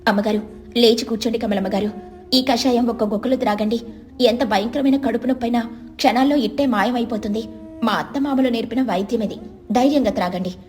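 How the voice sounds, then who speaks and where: A woman's voice: on the high side, quick pace, a little mellow, natural voice. A woman voicing a kind, humble, devoted village woman in a storybook tale, respectful and reassuring. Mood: urgent, reassuring.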